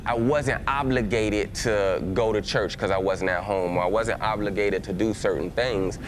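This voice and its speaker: A man's voice: lowish voice, fairly even intonation, moderate pace, a little hiss or hum. A man from Texas with a Southern accent, a pastor giving a conversational personal testimony to a church, with frequent restarts and filler words.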